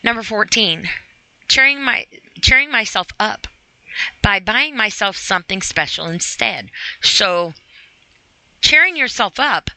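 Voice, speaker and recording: Female self-help podcast host, bright forward voice, close dry sound, earnest and informal. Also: medium pitch, lively intonation, a little slow, long pauses, dry recording, poor audio. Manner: conversational, earnest, rhetorical questions to the listener. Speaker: podcast host reading and commenting on a sobriety e-book. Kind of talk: reading aloud with informal motivational commentary.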